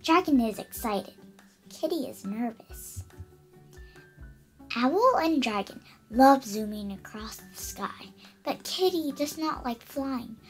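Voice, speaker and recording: Young girl reading aloud, animated and high. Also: slow, soft background music. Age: child, about eight. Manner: expressive, careful reading with stumbles over words. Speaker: young storybook reader. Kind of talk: read-aloud children's picture book.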